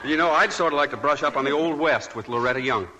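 A man bantering on a 1940s radio show, delivering scripted jokes briskly. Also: on the high side, background noise. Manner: joking, brisk.

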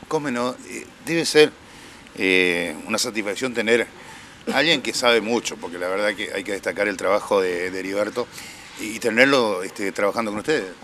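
A man's voice: lowish voice, moderate pace, fairly bright tone, slight background noise. A man with an Argentine accent interviewing guests as a reporter for a rural TV programme. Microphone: handheld microphone.

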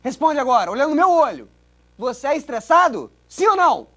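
A man's voice: extremely high pitch, sing-song, fairly quick, sharp tone. A man hosting comedy segments in an irritable, sarcastic manner. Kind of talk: sketch comedy. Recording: music underneath.

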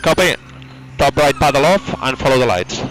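A man working as a race engineer, giving calm, brief instructions over team radio. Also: medium pitch, normal speed, poor audio. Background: music, car noise.

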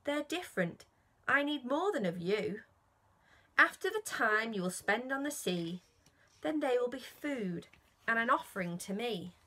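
A woman voicing a calm, authoritative God in a children's rhyming storybook, measured and instructive. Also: on the high side, exaggerated voice. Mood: explaining.

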